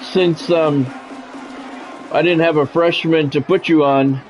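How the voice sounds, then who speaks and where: An American man doing live play-by-play of a video game football match in a measured announcer style, mixed with casual chat to stream viewers. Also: on the high side, fairly even intonation, darkish tone, a little hiss or hum. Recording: dull lo-fi sound with music behind.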